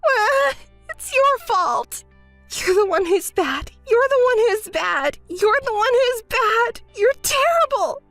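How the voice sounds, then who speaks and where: A woman's voice: very high voice, animated, unhurried, a bit bright, dry recording, faint music behind, heavily acted. A woman voicing a spoiled, entitled young woman who whines and shrieks. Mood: hysterical. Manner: whiny, demanding, shrieking. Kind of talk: dramatized story voice acting.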